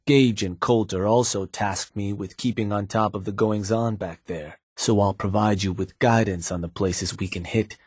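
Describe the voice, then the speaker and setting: An actor playing a shrewd, laid-back raider advisor to a gang boss in a video game, with a casual, deferential manner and a husky voice. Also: male voice, lowish voice, fairly even intonation, moderate pace, few pauses, darkish tone, no echo, studio quality. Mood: scheming, matter-of-fact. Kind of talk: video game character dialogue.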